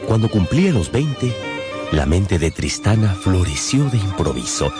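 A man's voice: deep voice, sing-song, a little slow. A man narrating a literary radio novel in a theatrical storytelling manner. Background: music.

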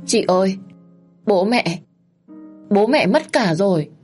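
Woman voicing a tearful young girl, pleading, husky voice raised higher. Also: lively intonation, slow pace, darkish tone, soft background music. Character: sobbing young girl, the little sister.

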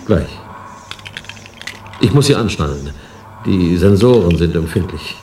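A male actor in a radio play, playing a soothing, wistful elderly scientist in an unhurried, quietly eerie manner. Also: low-pitched, lively intonation. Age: older. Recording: echoey room with background hum.

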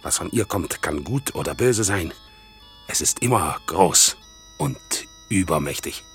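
An actor playing a proud, dutiful one-legged war veteran who works as an organ grinder, speaking in a solemn, deferential way in a radio play. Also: male voice, deep voice, expressive, a little slow, a bit bright, heavily acted. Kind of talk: 1960s radio play acting. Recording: faint music behind, older radio play recording.

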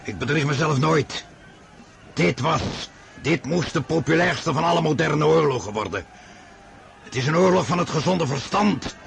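An actor playing a proud, weary and grandiose emperor in a radio drama, declaiming imperiously. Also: male voice, animated, moderate pace, gravelly. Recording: radio play with loud battlefield rumble behind.

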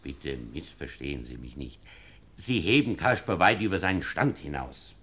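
An actor playing a stern, practical baron in a radio drama, arguing formally and insistently as a protector. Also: male voice, extremely low pitch, sing-song, moderate pace, a bit bright, breathy edge, exaggerated voice. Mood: urgent.

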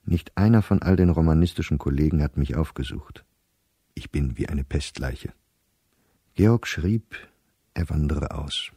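An actor playing an embittered, anxious middle-aged professor reading his diary in a radio play, in a measured, weary manner. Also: a bass voice, mellow, breathy edge, no echo, clean studio sound, full character voice. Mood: grim, resigned. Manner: measured, weary, dropping to near-whisper at grim thoughts. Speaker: diarist narrator.

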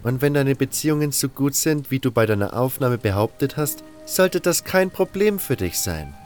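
An actor playing a cold, condescending baron who gives orders in a fantasy audio drama, with music underneath. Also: male voice, medium pitch, lively intonation, normal speed, lightly acted. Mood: cool, calculating. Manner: condescending, scornful. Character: cold, haughty male baron giving orders.

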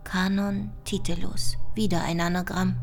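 An actress playing a knowledgeable code-cracker with dry wit in a radio play, husky and matter-of-fact. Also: female voice, on the low side, flat delivery, unhurried, faint music behind. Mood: focused. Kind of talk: German radio play dialogue.

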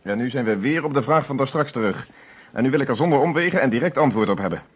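Firm, husky police inspector. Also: male voice, medium pitch, animated, quick pace. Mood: stern, pressing. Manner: formal, insistent questioning. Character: male police inspector, firm, probing, formally polite. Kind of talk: radio play acting. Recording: dull old radio recording.

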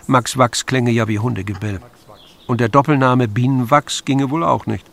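A man's voice: medium pitch, gravelly. A man reading scripted literary narration as the narrator of an audio story.

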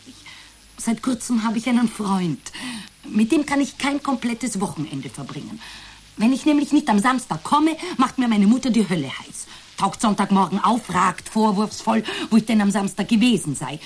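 A woman's voice: medium pitch, very animated, normal speed, slightly rough. An actress playing a hesitant, burdened therapy client in a radio drama, searching for her words in conversational speech. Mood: burdened, frustrated.

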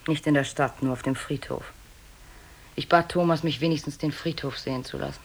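A middle-aged fugitive mother in a radio drama. Her voice is husky and wistful. She pleads in deliberate, musing phrases that fade softly at the ends. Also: low-pitched, rough recording. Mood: sorrowful. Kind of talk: German radio drama acting.

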